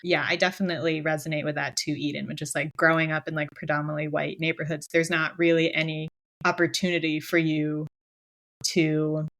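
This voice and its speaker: A young woman from Pennsylvania talks over a book club podcast in a relaxed, thoughtful way. Her voice sits on the low side, and she drops "like" often as she thinks aloud. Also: brisk. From Pennsylvania, USA. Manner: relaxed, reflective, filler "like". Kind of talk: casual chat.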